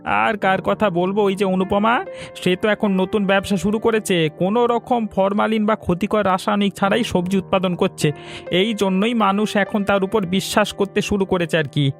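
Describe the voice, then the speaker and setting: A man's voice: sits high, fairly even intonation, normal speed, vocal fry, faint music behind. A man voicing a disgruntled, conniving village trader in a cartoon story. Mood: complaining. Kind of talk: cartoon voice acting.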